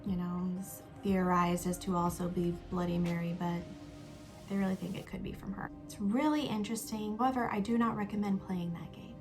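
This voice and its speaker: A young woman talks to her viewers in a chatty, upbeat way while she does her makeup. Her voice sits at a middle pitch, and now and then she drops into a soft whisper. Faint music plays quietly behind her. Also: steady tone, fairly quick. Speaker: YouTube host. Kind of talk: casual vlog chat while doing makeup.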